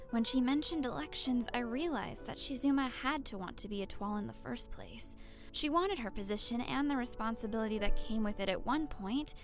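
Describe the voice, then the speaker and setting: A woman plays an eager, impulsive teenage schoolgirl in an anime fan dub. Her voice sits high, and she speaks earnestly, rising quickly to determination. Faint music plays behind her.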